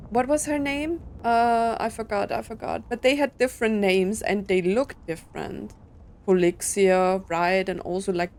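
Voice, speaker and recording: A woman's voice: on the high side, darkish tone, slight background noise. A woman giving casual reaction commentary as a game streamer, thinking aloud and asking questions as she goes.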